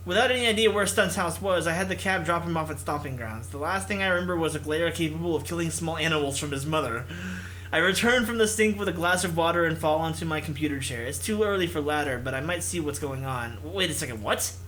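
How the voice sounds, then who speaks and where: Man, bright forceful tone, high pitch, theatrical game narration, casual asides, jokey delivery. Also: fast talker, slight background noise. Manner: theatrical, jokey, puts on character voices. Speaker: host of a visual novel playthrough. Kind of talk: reading game text aloud with casual commentary.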